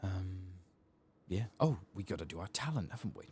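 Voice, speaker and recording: A soft-spoken man hosting an ASMR gaming video, thinking aloud casually in a hushed voice as he plays. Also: deep voice, big pitch swings, moderate pace, breathy edge.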